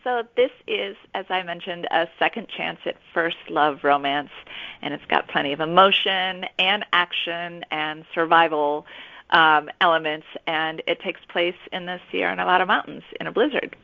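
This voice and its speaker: A woman author explaining her work conversationally as an interview guest, pausing in mid-thought. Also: fairly low pitch, gravelly, no echo. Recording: slightly dull, band-limited audio.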